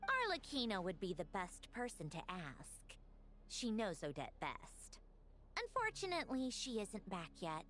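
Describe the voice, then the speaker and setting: A woman's voice: mid-range voice, huge pitch swings, a little slow, a bit bright, a little hiss or hum. Haughty, analytical young noblewoman with swooping intonation. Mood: matter-of-fact. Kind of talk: video game character acting.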